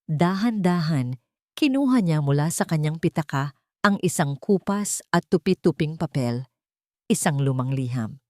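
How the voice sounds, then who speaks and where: A woman's voice: sits low, animated, moderate pace, darkish tone, no room sound, studio quality. A woman narrating a scripted dramatic story. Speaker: narrator of love stories.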